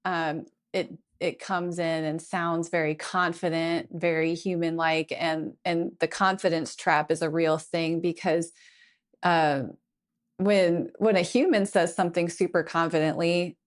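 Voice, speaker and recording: A woman with a somewhat low-pitched voice talks through technology in a relaxed podcast conversation. She is thoughtful and explanatory, laying out her points one after another as a tech consultant on the show. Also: a little slow.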